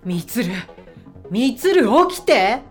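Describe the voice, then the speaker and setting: A woman acting in an audio drama as an inquisitive, emotive companion in a mystery, with expressive feminine speech. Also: on the high side, slow, soft background music. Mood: urgent. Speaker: voice actor in an amateur audio drama. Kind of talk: dramatic dialogue.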